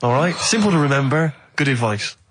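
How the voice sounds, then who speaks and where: A man co-hosting a radio show in casual comic banter, dry and teasing, quick to retort. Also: medium pitch, animated, fairly bright tone, slight background noise.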